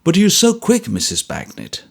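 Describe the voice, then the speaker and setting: A man as a troubled, gruff ex-soldier. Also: a little high, wildly swooping pitch, pitched above the performer's own voice, exaggerated voice. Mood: apologetic. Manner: earnest, apologetic, halting.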